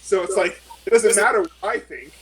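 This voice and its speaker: A man's voice: sits high, a little fast, a bit bright, breathy edge, pitched above the performer's own voice, exaggerated voice, rough recording. A man voicing a rattled, foul-mouthed woman corporate lawyer who is exasperated and defensive. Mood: frantic, exasperated. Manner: swearing, flustered, exasperated.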